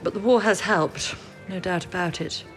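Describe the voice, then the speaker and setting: A woman's voice: fairly low pitch, normal speed, soft background music, close to an everyday voice. A woman playing a level-headed, wry wartime statistician, dry and composed in a period radio drama.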